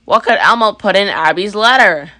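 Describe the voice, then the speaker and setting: A woman's voice: mid-range voice, animated, moderate pace, bright, forceful tone, no echo, clean studio sound. A woman reading a children's story aloud as scripted narration. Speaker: storybook reader.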